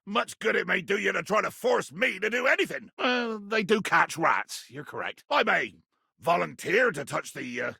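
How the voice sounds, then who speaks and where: An actor playing a grandiose, menacing pirate captain in a video game, raspy and theatrical, haughty with archaic nautical insults. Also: male voice, sits high, lively intonation, moderate pace, sharp tone, no echo, clean studio sound, full character voice. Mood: scornful, blustering. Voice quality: gravelly, raspy. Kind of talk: video game character acting.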